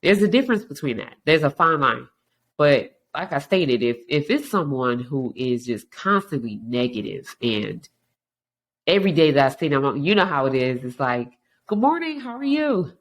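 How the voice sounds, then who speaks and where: A woman's voice: extremely low pitch, expressive, normal speed. A woman hosting a podcast in a frank, casual monologue, her voice stuffy and congested from allergies. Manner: frank, conversational, many restarts. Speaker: podcast host.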